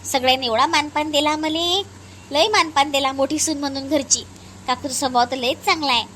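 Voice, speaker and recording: A woman's voice: sits high, fairly quick, pushed and bright, a little hiss or hum. A woman voicing an agreeable eldest daughter-in-law in a family cartoon, chatting in colloquial Varhadi Marathi with a gossipy manner. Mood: chatty, pleased. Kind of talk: cartoon family drama dialogue.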